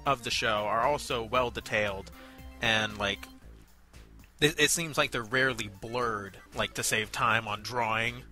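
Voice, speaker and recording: A man with a very bright, forward voice at a middling pitch, talking through a review as co-host. He is measured and polite, pausing often with hesitations, over background music. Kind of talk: conversational review commentary.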